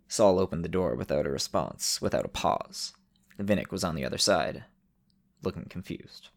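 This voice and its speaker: Male storyteller and show host, deep voice with gravelly fry. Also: lively intonation, moderate pace. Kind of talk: narration and casual host talk.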